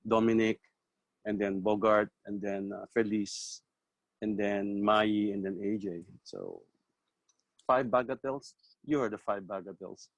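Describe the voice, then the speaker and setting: Man, composer hosting an online chat, halting and reflective, repeats himself, dry close sound, video call. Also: lowish voice, steady tone, no room sound, poor audio. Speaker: composer and host.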